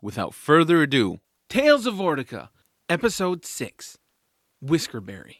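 A man's voice: on the high side, wildly swooping pitch, normal speed, a bit bright, no echo. A man reading a scripted introduction as the narrator of an audio drama series. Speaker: narrator and co-creator.

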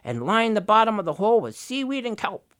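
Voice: medium pitch, very animated, brisk, a bit bright, pitched above the performer's own voice. A storyteller voicing a scheming male raven trickster who stammers and wheedles. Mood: bossy.

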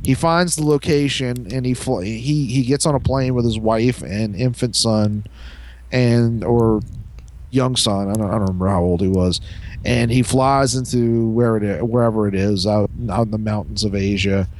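A man co-hosting a casual podcast, explaining things in a halting way and often repeating words as he goes. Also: fairly low pitch, steady tone, darkish tone, a little hiss or hum. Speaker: podcast co-host. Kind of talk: casual banter about comics and shows.

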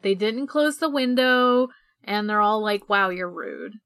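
Woman podcast cohost, bright and a bit high, close dry sound, blunt and sweary. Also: expressive, measured pace, clear, resonant tone, no room sound. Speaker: podcast co-host. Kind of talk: casual banter.

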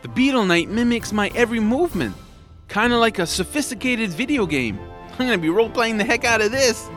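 A man playing an excitable, kind-hearted young boy hero in a family audio drama, with an earnest, playful delivery. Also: high voice, brisk, faint music behind. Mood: excited bravado.

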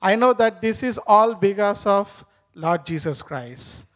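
A man with an Indian accent shares a heartfelt testimony, stopping often to search for words. His voice sits high for a man. He sounds earnest and thankful. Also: expressive, a bit bright. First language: Tamil. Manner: halting, many fillers, earnest and grateful. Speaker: church member giving testimony. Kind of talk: personal testimony in an interview.

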